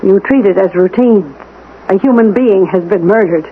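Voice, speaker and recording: A woman's voice: medium pitch, normal speed, close to an everyday voice. An actress playing a composed, persistent amateur sleuth in a radio drama, speaking precisely and insistently as she challenges and probes. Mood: challenging. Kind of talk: 1970s radio drama acting. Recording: tinny, noisy old radio broadcast recording.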